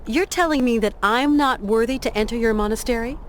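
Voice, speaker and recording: An actress playing an inquisitive, determined traveller in a video game, polite but direct, probing and dryly sardonic. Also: female voice, fairly high pitch, brisk, slight background noise, lightly acted. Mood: challenging.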